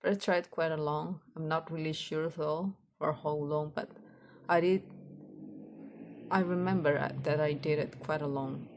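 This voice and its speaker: A woman with a low voice and an Indonesian accent rambles casually, as if practicing her speaking for a vlog. She speaks haltingly, searching for the right word and circling back over her phrases. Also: fairly even intonation, darkish tone. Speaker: vlogger.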